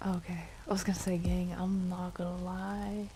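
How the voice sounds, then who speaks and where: A woman streaming a game, chatting playfully with viewers and often dropping into hushed whispered asides. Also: fairly low pitch, level tone, normal speed, slight background noise. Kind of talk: casual live-stream chat while playing.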